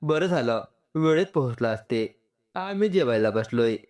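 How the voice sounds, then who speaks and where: A man voicing a caring, gently scolding mother-in-law in a narrated family story. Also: mid-range voice, animated, moderate pace, lightly acted. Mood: reassuring.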